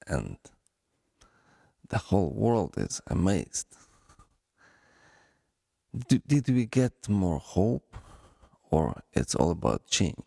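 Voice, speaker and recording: A man with a Ukrainian accent hosting a solo podcast, speaking in a deliberate, halting way with pauses. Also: low-pitched, gravelly, a little mellow, slightly rough, dry recording, clean studio sound. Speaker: podcast host.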